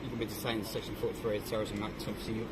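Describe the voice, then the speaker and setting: A man's voice: lowish voice, flat delivery, rapid-fire, a crowd in the background. A male police officer on duty outdoors, questioning a man in short, guarded replies. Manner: clipped, repeated "okay", guarded. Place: outdoors by an embassy gate. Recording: street traffic noise behind.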